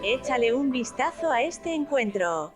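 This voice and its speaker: A woman's voice: high voice, very animated, moderate pace. A woman voicing a voice assistant, giving polite scripted replies in a played demo video. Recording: played video with music behind.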